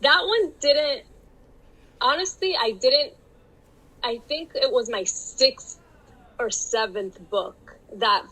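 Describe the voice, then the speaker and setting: A woman's voice: high-pitched, slow, long pauses, pushed and bright, rough recording. A Dominican-born woman with a Miami Cuban-tinged accent, chatting casually as a guest author and laughing often. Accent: Miami Cuban-inflected English. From Dominican Republic. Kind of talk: casual interview conversation.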